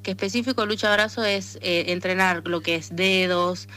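Female athlete interviewee, northern Argentine accent, bright tone, mid pitch, conversational. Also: fairly even intonation, normal speed. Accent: northern Argentine, Tucumán. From Tucumán, northern Argentina. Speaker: interviewed athlete, arm wrestler and association president. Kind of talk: conversational radio interview answers.

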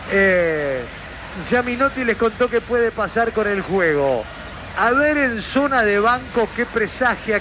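A high-voiced man hosts a live radio football broadcast in a lively, bantering manner. Music sits clearly under his voice. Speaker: radio play-by-play announcer and show host.

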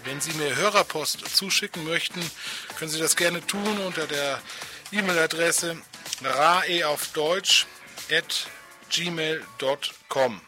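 A man's voice: on the high side, slow. A man presenting a radio programme as host and interviewer. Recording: music behind.

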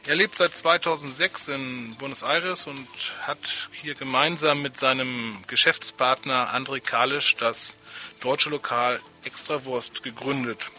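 Ein Mann moderiert als Gastgeber ein Live-Radiointerview in einem Studio. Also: male voice, mid-range voice, fairly even intonation, measured pace, pushed and bright, soft background music. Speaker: radio host.

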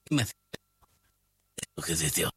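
A man's voice: takes it slowly, sharp tone. A man from Buenos Aires with a Rioplatense accent, chatting on the radio about music, often hesitating and trailing off. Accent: Rioplatense Spanish. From Buenos Aires, Argentina. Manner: hesitant, trailing off mid-sentence. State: voice not at its best. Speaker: radio co-host presenting music. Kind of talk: casual radio chat.

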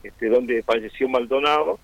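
A man's voice: medium pitch, normal speed, no echo, poor audio. A man from Argentina with an Argentine Spanish accent, explaining things earnestly in a radio interview, with many fillers and restarts. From Argentina (Chubut). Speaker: interviewee, Mapuche-Tehuelche community member.

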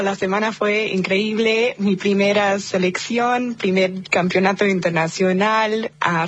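A young woman in her twenties, from Argentina but raised in the United States, speaks Spanish with an American tinge in an upbeat, halting way, often restarting her phrases during a phone interview. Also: medium pitch. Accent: Argentine Spanish with an American English tinge. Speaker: athlete guest. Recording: dull lo-fi phone line.

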